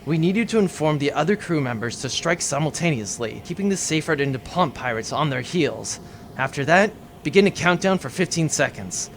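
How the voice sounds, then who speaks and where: A man's voice: on the high side, lively intonation, fairly quick, a little hiss or hum, pitched below the performer's own voice. A man voicing an eager, bossy, petulant ship commander with an emphatic manner in a comic audio drama. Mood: bossy, urgent.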